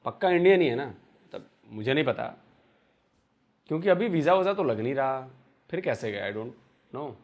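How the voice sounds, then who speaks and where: A man's voice: medium pitch, expressive, normal speed, pauses often, a little mellow. A man hosting a video reaction channel, making wry, skeptical remarks as he watches. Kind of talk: casual reaction commentary.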